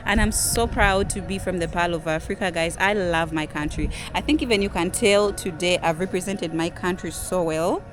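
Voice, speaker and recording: A young Ugandan woman with a Ugandan English accent, hosting a casual vlog, chatty and leaning on "guys" a lot, with soft music behind her. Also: moderate pace, hardly any pauses. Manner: chatty, says "guys" constantly, drops to a low murmur on it. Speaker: vlogger. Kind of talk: casual talking-to-camera vlog.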